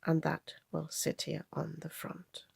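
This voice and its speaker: A woman's voice: deep voice, monotone, a little slow, creaky, darkish tone, husky, no echo, clean studio sound. A woman hosting a card-making tutorial from her craft table, sounding nasal from a head cold and chatting through each step and measurement. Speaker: craft channel host.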